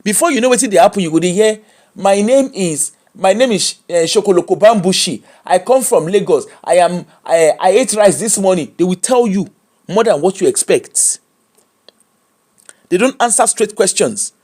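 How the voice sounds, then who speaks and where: A man with a Nigerian accent giving opinionated commentary, animated and mocking, slipping into pidgin. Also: sits high, normal speed. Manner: animated, switches into pidgin and mimics people.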